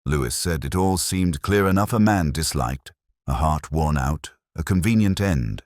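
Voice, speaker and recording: Man playing a very deep-voiced, brooding detective. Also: expressive, darkish tone, no room sound, clean studio sound. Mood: pensive, melancholy. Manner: measured, solemn, reflective, precisely worded. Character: brooding, literate older male English police inspector narrating a case. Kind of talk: scripted first-person detective audio drama narration.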